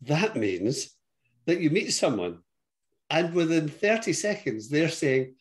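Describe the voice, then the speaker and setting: A man's voice: fairly high pitch, sing-song, slow pace. An older man with a Scottish accent, a guest chatting and telling stories over a video call from his home office. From Scotland. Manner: warm storytelling, frequent yes and laughs. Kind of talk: casual interview chat.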